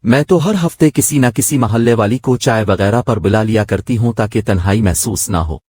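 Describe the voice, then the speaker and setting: Male narrator as genteel, lonely mother in a moral story, polite and explanatory, low and husky. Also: moderate pace, darkish tone, dry recording, studio quality, natural voice. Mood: polite, confiding. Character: genteel secluded wealthy mother, friendly and lonely.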